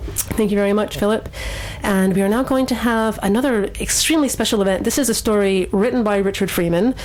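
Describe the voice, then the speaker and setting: A woman's voice: medium pitch, fairly quick, darkish tone, low-quality recording. A woman hosting a radio show, chatting live and introducing segments. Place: radio studio.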